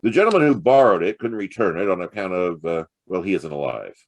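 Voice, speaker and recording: Very low-voiced hard-boiled detective. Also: male voice, big pitch swings, brisk, full character voice. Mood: sardonic, cool. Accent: American. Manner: sardonic, wry, clipped. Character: hard-boiled, wisecracking male private detective, narrating his case. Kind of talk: noir audio drama acting and narration.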